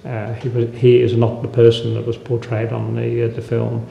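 Very creaky, monotone older Australian man. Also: medium pitch. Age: 70s. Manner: formal, halting with very frequent uhs. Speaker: veteran interviewee. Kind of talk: measured interview recollection. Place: indoor room, seated. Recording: dull, echoey room, faint noise.

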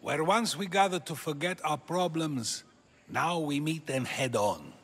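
An actor playing a warm, thoughtful Greek philosopher in a video game, measured and reassuring. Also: male voice, a little high, animated, slightly rough, heavily acted. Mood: resolute. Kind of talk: video game cutscene acting.

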